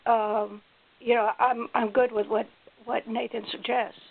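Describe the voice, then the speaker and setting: A woman senator deliberating aloud in a committee call, halting as she searches for words. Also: on the high side, flat delivery, vocal fry. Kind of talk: informal deliberation in a meeting. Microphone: telephone. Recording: tinny conference phone line.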